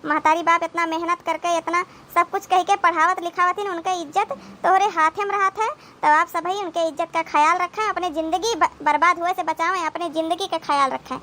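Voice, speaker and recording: A woman's voice: extremely high pitch, steady tone, brisk, hardly any pauses, pushed and bright. A woman playing a scolding but protective village sister-in-law in an Awadhi comedy cartoon, exclamatory and rustic. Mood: earnest advising. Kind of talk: dramatic comedy cartoon dialogue.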